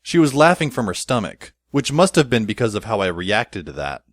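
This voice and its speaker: A man playing a wry, introspective schoolboy who narrates an audio drama in a dry, measured, literary way. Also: on the low side, big pitch swings, no echo. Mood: thoughtful. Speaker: narrator and lead character. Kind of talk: first-person scripted audio drama narration.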